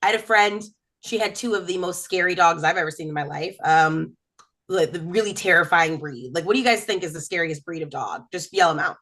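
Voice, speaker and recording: Chatty, bright-voiced comedian woman from Texas. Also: fairly low pitch, brisk. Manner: chatty, self-mocking, lively storytelling. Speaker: stand-up comedian. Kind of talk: stand-up comedy set on a video call.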